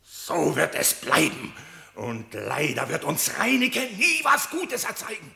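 Gravelly, brooding wolf. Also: male voice, fairly high pitch, wildly swooping pitch, a little slow, sharp tone, exaggerated voice. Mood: gloomy resentment. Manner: lamenting, formal, brooding. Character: aggrieved wolf, bitter, wounded, vengeful nobleman at court. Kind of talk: theatrical verse acting in radio play.

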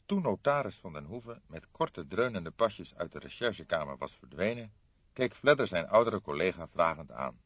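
A man's voice: low-pitched, sing-song, moderate pace, fairly bright tone, husky, no echo, highs cut off. A man reading detective fiction as scripted literary narration for an audiobook.

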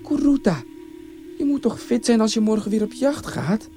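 A man's voice: sits high, sing-song, moderate pace, dark tone, soft background music, voice pushed up. A man voicing a worried, trusting village wife and mother in a story, earnest and questioning. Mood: concerned. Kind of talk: storybook character voice.

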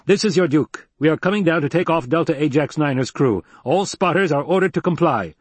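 A man's voice: fairly high pitch, lively intonation, fairly quick, husky, no echo, pitched above the performer's own voice. A man voicing an impatient, commanding nobleman and military leader in an audiobook, barking clipped orders. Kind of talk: dramatized audiobook dialogue.

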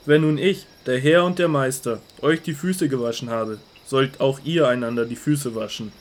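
An actor playing a calm, authoritative male teacher in a radio drama, speaking solemnly and earnestly. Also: medium pitch, normal speed, a little hiss or hum, lightly acted.

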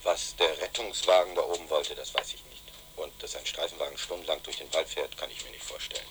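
An extremely deep-voiced man as an officious police spokesman in a radio drama. He is formal and smoothly evasive, with clear noise on the recording. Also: steady tone, quick pace. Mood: evasive, guarded. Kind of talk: German radio play acting.

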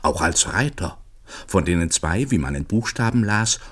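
An actor as the narrator of a satirical radio play, reading literary prose as a storyteller. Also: male voice, deep voice, animated, normal speed, fairly bright tone, husky, full character voice. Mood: wry, storytelling. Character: wry male literary narrator of a satirical tale.

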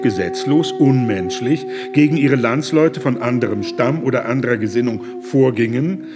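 An actor playing an earnest, indignant German humanitarian, speaking formally, deliberately and with moral urgency in a radio play. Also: male voice, medium pitch, lightly acted. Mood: resolute.

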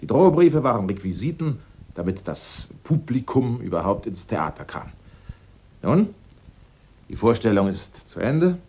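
A man acting a sharp-witted amateur sleuth in a German radio drama, theatrical and assertive as he sets out his case step by step. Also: fairly low pitch, sing-song, a little slow, highs cut off. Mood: triumphant, conclusive.

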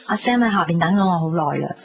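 A woman's voice: lowish voice, lively intonation, rapid-fire, vocal fry. A woman playing a bubbly, loyal young best friend in a Hong Kong Cantonese radio drama, chatty, gushing and teasing. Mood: encouraging. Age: young adult. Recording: dull 1990s radio recording, background noise.

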